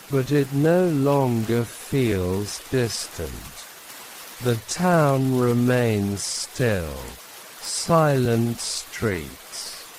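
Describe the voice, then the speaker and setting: A man narrating a sleep story in a calm, hushed, soothing manner, leaving long pauses between short phrases. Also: mid-range voice, rough recording. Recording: steady rain and hiss behind voice. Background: rain.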